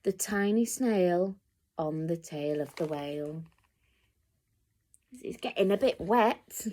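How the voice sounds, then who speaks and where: A woman reading a picture book aloud to children, with expressive, dramatic emphasis and direct asides to young listeners. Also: on the low side, slow pace.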